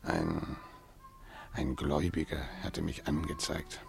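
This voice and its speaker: An actor playing a ruined, brooding Russian gambler in a German radio drama, resigned and bitterly wry, musing in a halting, theatrical way. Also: male voice, a bass voice, steady tone, vocal fry, over music, full character voice. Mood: bitter reminiscing.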